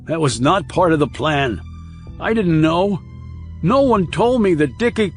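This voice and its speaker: A man's voice: on the high side, expressive, unhurried, faint music behind, voice pushed up. Man acting a distraught, pleading guilty father. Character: guilty, desperate father caught by an investigator.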